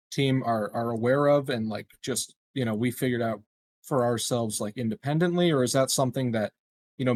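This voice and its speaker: A man's voice: mid-range voice, a little mellow. A man playing a tabletop roleplaying game, casually and tentatively feeling his way through questions and actions. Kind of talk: casual improvised game discussion.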